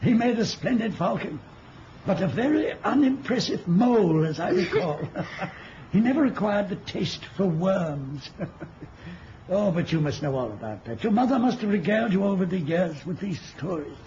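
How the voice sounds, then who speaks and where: Old merlin type wizard in a radio play, high voice, wistful n poetic, muffled noisy recording. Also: male voice, very animated, moderate pace, darkish tone. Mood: fond reminiscing. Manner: poetic, grandly archaic, chuckling speech.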